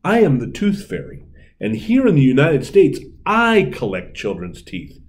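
A man voicing a bossy, excitable female Tooth Fairy from a storybook in an exclamatory way. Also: mid-range voice, measured pace, voice pushed up. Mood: insistent. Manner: exclamatory, animated storybook delivery.

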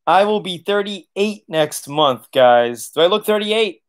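Late-30s male host, loose and chatty, laughs easily, a touch high-pitched; home webcam livestream. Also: expressive, takes it slowly. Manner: loose, chatty, laughs often, swears casually. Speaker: auto body channel host. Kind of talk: casual livestream Q&A reading chat. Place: living room, seated on leather couch.

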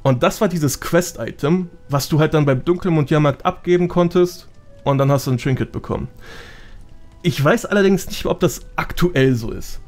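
A man's voice: a little high, normal speed, a little mellow, soft background music. A man chatting casually as a podcast guest, explaining things in slangy speech sprinkled with English gaming terms. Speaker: podcast guest, gold-making gamer.

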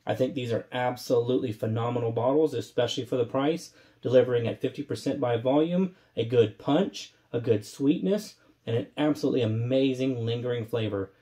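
A man in his thirties from Texas with a slightly raspy, allergy-congested voice, chatting enthusiastically while reviewing rums at a home bar. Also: mid-range voice, a little fast, few pauses, mellow. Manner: enthusiastic, conversational, holding up bottles while tasting. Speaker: rum reviewer. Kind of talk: casual spirits review. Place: indoor home bar room.

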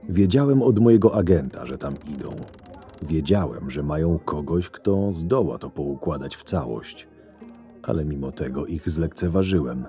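Male actor, shrewd sardonic schemer, measured fantasy narration, extremely deep, music behind. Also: lively intonation, dark tone. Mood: sardonic, reflective. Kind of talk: first-person dramatic narration in fantasy audio drama.

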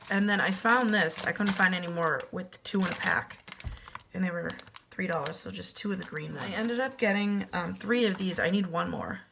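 A woman's voice: on the low side, normal speed, vocal fry, background noise. A woman in her thirties losing her voice, chatting casually through a home decor haul in her kitchen on a webcam. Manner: chatty, self-deprecating, upbeat. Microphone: webcam at desk distance.

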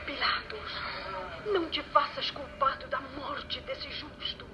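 Pleading Roman wife, high solemn voice, 1950s radio drama, dull recording, music behind. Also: female voice, steady tone, slow pace. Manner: solemn, imploring.

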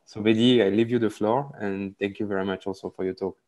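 Guy hosting a webinar on a call, lowish voice, polite, lots of ums. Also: fairly even intonation, a little fast. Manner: warm, courteous, hesitant with fillers. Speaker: moderator. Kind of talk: webinar moderating. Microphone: video call.

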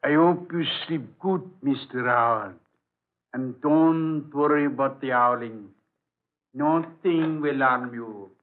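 Man acting as jittery servant in old radio play, slow n stammery, dodges questions politely, tinny narrow sound. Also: mid-range voice, natural voice. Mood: flustered, deferential. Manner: halting, stammering, servile. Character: evasive, nervous, deferential superstitious manservant. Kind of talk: 1940s radio drama acting.

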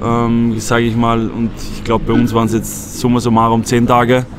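A man's voice: medium pitch, monotone, normal speed. A man in his thirties with an Austrian accent chatting casually as a guest in an interview. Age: 30s. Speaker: event organiser, podcast guest. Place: outdoors at a table by an open-air gym. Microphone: desk microphone. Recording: outdoor mic, music and urban noise behind.

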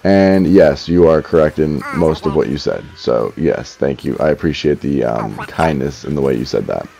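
A young man with a deep voice, reading viewer comments aloud while gaming at home, dry and a little sarcastic, often stammering as he restarts his sentences. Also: moderate pace, gravelly, a crowd in the background. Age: young adult. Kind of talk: casual commentary while playing. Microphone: headset. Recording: slightly dull lo-fi audio.

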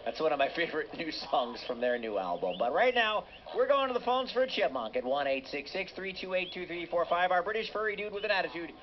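Animated male kids' radio host, muffled. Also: high-pitched, fast. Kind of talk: casual, upbeat children's radio patter. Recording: muffled radio replayed through a speaker, noisy.

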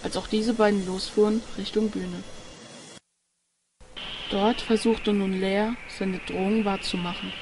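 A woman's voice: mid-range voice, steady tone, a little slow, long pauses, background noise. A woman reading scripted narration for an audio drama. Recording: music under the voice. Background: music.

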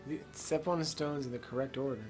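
A man's voice: medium pitch, fairly even intonation, a little fast, over music. A man playing a video game and commenting casually, with offhand sweary reactions. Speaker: let's play co-host playing the game. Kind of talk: casual gaming commentary banter.

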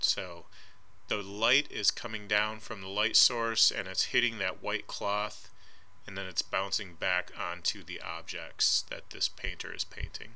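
A man with a very bright, forceful voice gives an art lecture over slides. He talks casually and hedges often, filling pauses with ums, and sits on the lower side in pitch. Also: fairly even intonation. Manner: casual and hedging, frequent ums. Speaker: art instructor. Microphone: voice-over recorded over slides.

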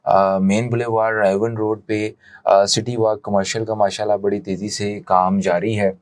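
A man's voice: lowish voice, little pitch movement, moderate pace, dry recording. A man in his thirties with a Pakistani accent presents a promotional real estate video as a consultant, explaining things like a salesman into a lapel mic.

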